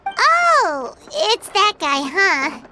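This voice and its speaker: Squeaky little fairy companion. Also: female voice, very animated, drawn-out words, music in the background, heavily acted. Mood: cheeky, eager. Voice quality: squeaky, childlike. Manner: refers to herself in third person, exclamatory. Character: tiny childlike fairy companion, chirpy and brash. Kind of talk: video game character dialogue.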